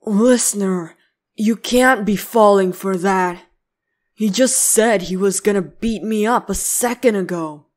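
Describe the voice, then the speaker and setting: A woman's voice: mid-range voice, a little slow, lightly acted. A woman voicing a brooding, clingy, possessive young male demon slayer in a boyfriend ASMR roleplay, grumbling and hesitant between sighs. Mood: defensive.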